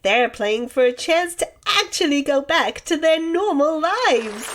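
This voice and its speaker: An actress playing a vain, catty game show prize hostess, breezy and cutting. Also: female voice, sits high, sing-song, a little slow, a bit bright, slight background noise, full character voice.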